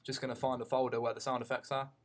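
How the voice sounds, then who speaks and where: A man giving an unscripted tutorial as a teacher, haltingly thinking aloud as he goes. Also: mid-range voice, level tone, a little hiss or hum.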